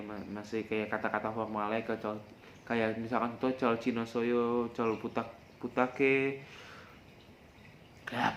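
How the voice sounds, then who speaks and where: A young man hosts a casual podcast, sharing tips in a rambling, self-correcting, confessional way and addressing listeners directly. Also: mid-range voice. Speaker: podcast host. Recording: echoey room with faint background noise.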